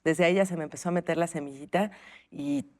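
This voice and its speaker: A woman's voice: lowish voice, quick pace, a little mellow. A woman with a Mexican accent answering interview questions in a reflective, informal, conversational way. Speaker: interview guest, playwright and stage creator.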